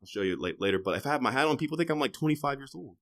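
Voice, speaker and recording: A man in his thirties, a podcast guest in casual conversation, talking loosely and with swearing, jumping from loud emphatic bursts to quiet trailing asides. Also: mid-range voice, lively intonation, racing. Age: 30s.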